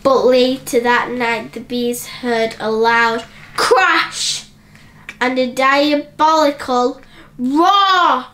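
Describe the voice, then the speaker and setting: A woman narrating a children's bedtime story in an expressive, deliberate manner aimed at small children. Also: high-pitched.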